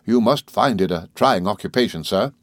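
A man voicing a meek, timid middle-aged country doctor who speaks slowly and apologetically, stammering and adding sir to nearly every phrase. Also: fairly low pitch, lively intonation, no room sound, close to an everyday voice. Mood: meek, fluttered. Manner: slow, apologetic, hesitant, with deferential sirs.

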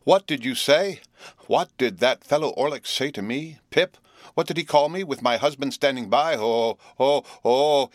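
A man doing a screaming, furious wife in a storybook reading. Her voice climbs high and loud as she scolds and shrieks. It sits close and dry. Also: moderate pace, dry recording, natural voice. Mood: shrieking. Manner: screaming, theatrical rage. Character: shrieking furious village wife, middle-aged.

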